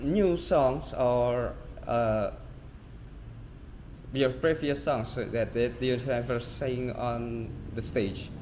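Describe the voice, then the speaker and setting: A male interviewer on a headset asking hesitant questions over a video call, with halting restarts. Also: medium pitch, a little mellow, slight background noise. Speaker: interviewer, host.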